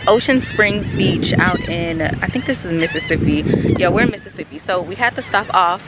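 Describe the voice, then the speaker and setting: A woman's voice: medium pitch, wildly swooping pitch, moderate pace. Woman in her late 20s vlogging on her phone at the beach, voice swings up and down, tons of wind noise. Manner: chatty, talking to the camera while walking. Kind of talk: casual travel vlog. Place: outdoors on a beach by the sea. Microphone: phone camera at arm's length. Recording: loud wind and surf noise.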